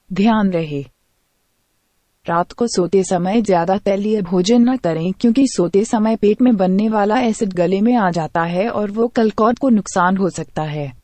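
A woman narrates a scripted health-tips voice-over in an even, reading tone. Her voice is dark and mellow, recorded dry and close with no room sound. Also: mid-range voice, dry recording.

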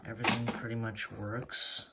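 A man casually showing a homemade build on a handheld phone camera in a room at home, talking in a hesitant, soft-spoken way. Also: on the low side, flat delivery, moderate pace, creaky, very noisy. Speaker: hobby channel host. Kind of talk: casual show-and-tell vlog.

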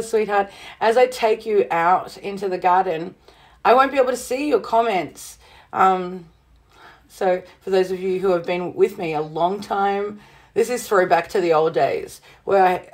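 A middle-aged woman with a slightly husky voice, chatting casually to viewers on her livestream from a cottage room, bubbly and full of fillers. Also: on the low side, a little mellow. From Southern Hemisphere. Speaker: witchcraft livestream host. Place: witchy cottage room indoors.

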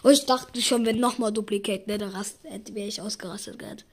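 A hoarse young woman giving casual gaming commentary, excitable and yelping at results, slipping into a raspy near-whisper when she talks quietly. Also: mid-range voice, a little fast. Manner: excitable, shrieks and groans at spin results. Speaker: gaming YouTuber.

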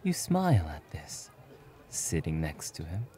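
A man narrating a scripted second-person ASMR story in a soft, hushed, intimate manner. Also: extremely low pitch, huge pitch swings, unhurried, slight background noise.

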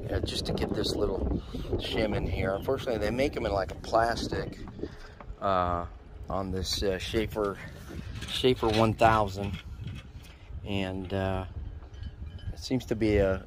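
A man's voice: fairly low pitch, unhurried, noisy background. Rambling middle-aged man on a sailboat. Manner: relaxed, rambling with many fillers. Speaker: sailboat owner vlogger. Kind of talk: casual vlog narration. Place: outdoors on a sailboat at a marina.

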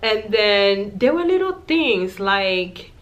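A woman's voice: mid-range voice, very animated, slow pace, a bit bright, lo-fi sound. A young adult woman vlogger telling a candid storytime to camera, chatty, with lots of "like" and "you know". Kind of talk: casual storytime monologue to camera. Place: small indoor room.

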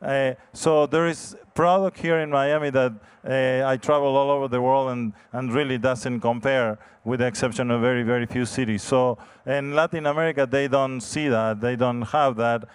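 A middle-aged man answering on a conference panel into a handheld mic, measured and hesitant, with many restarts. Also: medium pitch, fairly even intonation, clear, resonant tone. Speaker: real estate developer panelist. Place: large conference stage.

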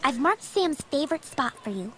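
High, perky farm girl. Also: big pitch swings, moderate pace, fairly bright tone, slight background noise. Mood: friendly, chatty. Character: perky young farm girl, helpful and friendly. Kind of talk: video game dialogue and tutorial.

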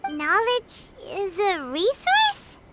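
An actress playing a tiny, excitable, childlike fairy companion in a video game, bubbly and naive in her way of talking. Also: very high voice, very slow, very noisy, full character voice. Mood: curious. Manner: bubbly, exclamatory, naive, refers to self in third person. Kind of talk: scripted video game dialogue. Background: game music.

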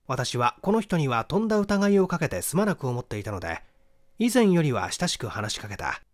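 A man giving a dramatic scripted reading of a classic mystery novel as an audiobook narrator. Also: mid-range voice. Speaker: audiobook reader, small-theater actor.

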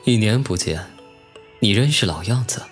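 A man's voice: fairly low pitch, expressive, moderate pace, faint music behind, lightly acted. A man playing a proud, disdainful young official held captive and wounded in a Chinese period audio drama, speaking coldly. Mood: wry. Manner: cold, haughty.

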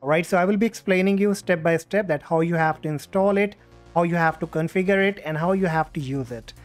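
A man hosting a step-by-step software tutorial, speaking into a USB condenser microphone. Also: on the high side, brisk. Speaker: tutorial host. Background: music.

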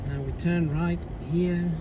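A man records a driving vlog from a phone on the dashboard. His voice sits a touch high and he rambles along with hesitant pauses. Loud engine and road noise run under every word. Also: fairly even intonation, lo-fi sound. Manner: rambling, hesitant, with frequent "uh" and light laughs. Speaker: vlogger. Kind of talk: casual narration while driving. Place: inside a car on narrow country lanes. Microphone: phone camera on the dashboard.

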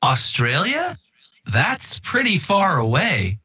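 A friendly, curious local man in a language-course dialogue. His delivery is slow and lively in pitch. The playback is a little dull. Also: breathy edge, exaggerated voice. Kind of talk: scripted textbook dialogue. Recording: dull playback of lesson audio.

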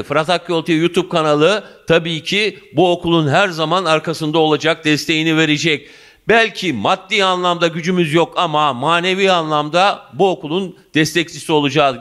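A man's voice: a little high, a bit bright. Man in his fifties, local TV interviewer, effusive and flowery, handheld mic, school office. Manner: effusive, addresses viewers and guest as "dear".

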